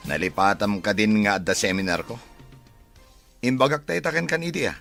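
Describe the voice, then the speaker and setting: A man's voice: on the low side, animated, a little fast, gravelly, fairly bright tone, soft background music. A man acting as an evasive, defensive husband and son in an audio drama, brushing off questions with exasperation.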